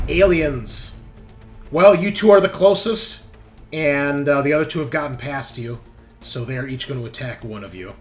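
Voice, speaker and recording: A man acting as game master in a tabletop roleplaying game, narrating action and explaining the rules. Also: mid-range voice. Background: background music.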